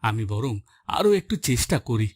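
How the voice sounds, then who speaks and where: A man's voice: mid-range voice, big pitch swings, a little fast, a little hiss or hum, natural voice. A man playing a humble, hardworking village fisherman and husband in a cartoon, talking in a plain, homely, reassuring way. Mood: musing, worried. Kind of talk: Bengali moral-story cartoon dubbing. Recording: loud music bed behind the voice.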